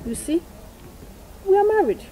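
A woman's voice: very high voice, big pitch swings, normal speed, warm tone, slight background noise, poor audio. A married woman acting in a skit as a friend who gives persuasive advice. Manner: confiding, persuasive, then apologetic.

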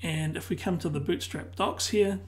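Hoarse tutor explaining step by step. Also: medium pitch, normal speed, a little hiss or hum. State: losing voice, clearing throat. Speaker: web design tutor. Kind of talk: screen-recorded software tutorial.

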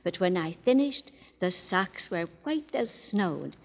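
A woman's voice: fairly low pitch, very animated, takes it slowly, gravelly, full character voice. An actress playing a chiding, homely grandmother in a 1930s radio advertisement skit. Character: fussy, cheerful grandmother. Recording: dull old radio broadcast.

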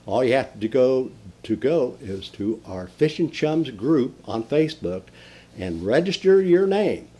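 Older husky-voiced man hosting a fishing report. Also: fairly low pitch, mellow. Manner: enthusiastic, rambling with fillers and restarts. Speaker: fishing show host. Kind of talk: folksy regional fishing report. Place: indoor den with mounted fish on the wall.

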